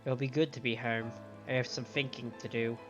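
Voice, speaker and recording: A man reads game dialogue aloud and comments on it as a livestream host. His pitch is middling and his reading is measured, with the odd self-correction. The audio is a little dull and lo-fi, with clear game music underneath. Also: little pitch movement, fairly bright tone.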